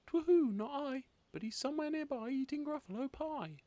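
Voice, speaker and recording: Owl from a kids story, hooting, super high and loud. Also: male voice, animated, moderate pace, dry recording, muffled recording. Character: hooting owl, shrill and airy.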